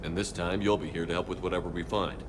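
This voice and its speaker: An actor playing a resolute, sober military commander in a video game. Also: male voice, low-pitched, fairly even intonation, fast, noisy background, lightly acted.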